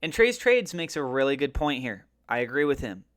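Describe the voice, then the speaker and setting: A man's voice: medium pitch, sing-song, a little fast, fairly bright tone. A man hosting a finance video, talking casually to viewers in an emphatic, colloquial way with pointed rhetorical questioning. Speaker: finance and crypto video host. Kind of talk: casual market commentary to viewers.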